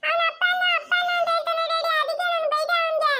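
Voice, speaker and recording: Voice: extremely high pitch, level tone, quick pace, very noisy. A performer voicing a whiny little girl who tattles and begs her father to hit someone, tearful and complaining.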